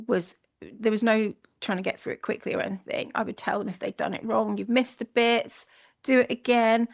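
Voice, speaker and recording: A woman's voice: mid-range voice, gravelly. A Scottish woman hosting a solo podcast, speaking in an earnest, reflective way with frequent restarts. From Scotland. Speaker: podcast host.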